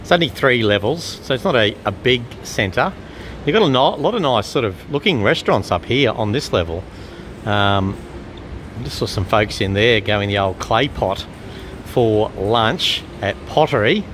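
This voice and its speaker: A man giving casual, unhurried walk-around commentary as a travel vlogger, chatting about what he observes. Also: fairly low pitch, animated, a bit bright. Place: walking around an open-air shopping mall. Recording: outdoor urban and traffic noise.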